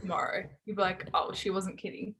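A woman's voice: medium pitch, level tone, a little fast, a crowd in the background. Chatty twenty-year-old Australian woman. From Hobart, Tasmania. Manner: chatty, candid, frequent "like" fillers, swears casually. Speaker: podcast guest. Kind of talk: casual chat.

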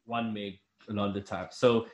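A man's voice: fairly low pitch, flat delivery, moderate pace, a little hiss or hum. A young man chatting casually as a podcast co-host, rambling with restarts. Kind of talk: casual chat. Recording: echoey room.

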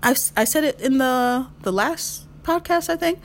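A woman in her mid-thirties hosting a solo podcast, giving emphatic advice, repeating her key phrases and swinging her pitch when she makes a point. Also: expressive, normal speed, a little hiss or hum. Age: 30s. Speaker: podcast host.

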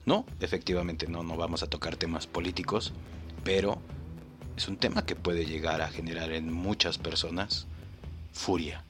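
Deep, bright-toned mock-serious podcast host. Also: male voice, steady tone, moderate pace, soft background music. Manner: mock-solemn, playful, addresses listener directly. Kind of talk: podcast commentary on clips.

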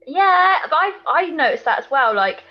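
A woman's voice: sits high, sing-song, moderate pace, highs cut off. A woman co-hosting a podcast in casual chat, reacting with informal, blunt asides and questions. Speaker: podcast co-host.

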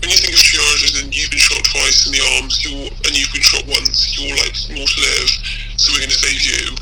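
A man's voice: medium pitch, flat delivery, moderate pace, creaky. A man, a prison officer in a candid interview, speaking bluntly and swearing freely, his voice masked. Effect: voice masked by the editor. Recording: loud noise over a disguised voice.

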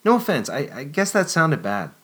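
A man's voice: very animated, moderate pace, fairly bright tone. A man putting on a breathless young woman. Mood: wonderstruck. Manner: high, breathless, stammering. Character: excitable young woman, wide-eyed and dreamy.

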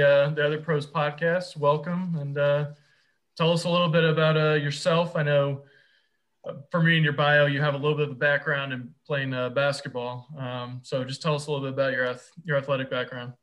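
A man's voice: a little high, level tone, brisk, a bit bright. Easygoing male podcast host. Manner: loose and hesitant, many fillers. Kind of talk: podcast interview and read sponsor ad.

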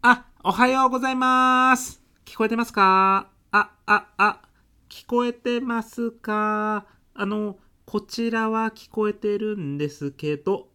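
A woman's voice: mid-range voice, slow pace, pushed and bright, clear, resonant tone. A woman playing a polite, deferential young salaryman son-in-law in a comic radio drama, with a hesitant businesslike manner. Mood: businesslike, courteous.

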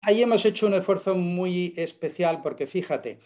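Male interview guest, persuasive and emphatic, a touch high-pitched, on a dull lo-fi recording. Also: normal speed, darkish tone. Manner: emphatic, persuasive explaining with rhetorical questions. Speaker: guest presenting a product. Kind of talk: casual interview.

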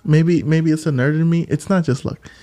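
A man co-hosting a casual movie podcast, animated and playful, often jumping in over his partner. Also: a little high, moderate pace. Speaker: podcast co-host.

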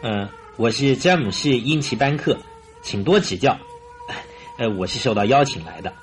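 An actor playing a stiff, uneasy father in his forties in a Mandarin radio drama, raspy, courteous and halting. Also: medium pitch, lively intonation, a little hiss or hum, natural voice. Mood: formal. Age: 40s.

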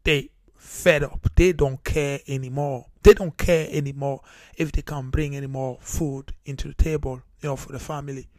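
A man's voice: medium pitch, steady tone, normal speed, a little mellow, no echo. A man from Cameroon hosting his own talk show, speaking earnestly with many fillers and restarts. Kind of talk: solo podcast monologue. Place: United Kingdom.

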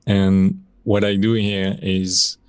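A man's voice: low-pitched, slow, clear, resonant tone, dry recording. A man in his thirties with a French accent, a podcast guest talking casually about his work, with many fillers. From France. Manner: relaxed, rambling, many fillers. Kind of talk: casual conversational interview.

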